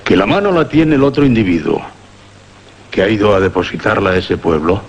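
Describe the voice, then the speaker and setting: Low, animated male voice, muffled. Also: a little fast, slight background noise. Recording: muffled recording. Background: music.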